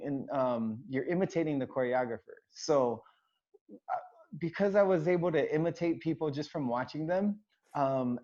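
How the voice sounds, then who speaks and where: A man of middle pitch, a choreographer chatting as a guest, talks in a loose, rambling way full of ums and false starts. The recording is dull and lo-fi. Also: dark tone. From United States, raised in Hawaii. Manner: rambling, many fillers and restarts. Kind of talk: casual interview chat. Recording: dull lo-fi sound.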